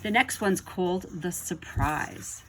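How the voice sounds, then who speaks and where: A woman reading a children's story aloud with expressive narration. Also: fairly low pitch, slow, slight background noise, rough recording. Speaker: storybook reader.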